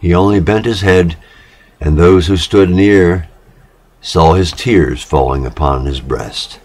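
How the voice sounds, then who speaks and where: Very slow, low-pitched man reading solemnly. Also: a little mellow. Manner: deliberate, measured, with frequent pauses. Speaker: reader. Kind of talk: solemn literary prose-poetry reading.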